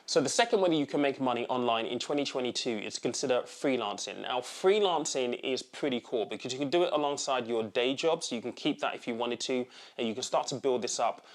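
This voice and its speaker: A man's voice: mid-range voice, fast talker. A man, a channel host, chatting to camera and repeating words for emphasis. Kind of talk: casual talk to camera.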